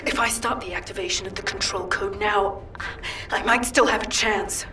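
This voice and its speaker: A woman's voice: medium pitch, fairly even intonation, normal speed, pushed and bright, roomy sound, close to an everyday voice. An actress playing a determined, defiant female soldier in a sci-fi video game, with a terse, resolute delivery. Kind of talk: dramatic video game voice acting. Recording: distant voice under clear music.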